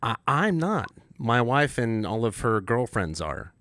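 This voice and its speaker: A man's voice: mid-range voice, sing-song, fairly bright tone. Stammering male podcast co-host. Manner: stammering restarts, quick interjections. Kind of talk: casual podcast banter.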